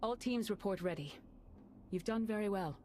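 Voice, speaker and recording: A woman's voice: medium pitch, normal speed, a little mellow, slight background noise, natural voice. An actress playing a composed, earnest female Jedi leader in a video game. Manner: earnest and pleading.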